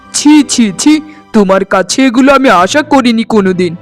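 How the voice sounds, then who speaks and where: Man acting a high-pitched, indignant young husband. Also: moderate pace, faint music behind, lightly acted. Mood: angry, scornful. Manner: emphatic, scolding, dramatic. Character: angry devoted young husband and son, quick to scold. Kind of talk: melodramatic cartoon dubbing.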